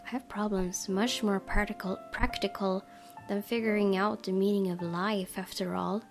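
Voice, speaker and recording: A woman game streamer reading a visual novel aloud as expressive narration. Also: medium pitch, normal speed, soft background music.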